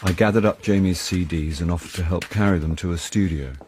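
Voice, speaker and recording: Low-voiced, dryly self-mocking actor as jaded man. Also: fairly quick, noisy background, lightly acted. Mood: sardonic. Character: wry, jaded male middle-aged actor narrating his own misadventures. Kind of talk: first-person radio drama narration.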